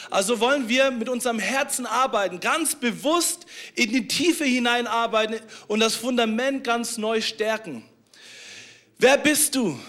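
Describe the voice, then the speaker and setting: A man's voice: very high-pitched, sharp tone. A man preaching a sermon to his congregation, earnest, repeating phrases and restarting often. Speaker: pastor.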